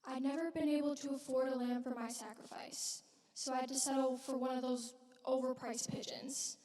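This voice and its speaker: A woman playing a widowed young mother from biblical Galilee in a dramatic church monologue, speaking earnestly and haltingly with weighted pauses. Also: sits high, steady tone, warm tone, close to an everyday voice. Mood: vulnerable. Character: widowed young Galilean mother, wounded, reverent witness of Jesus. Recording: echoey hall, distant microphone.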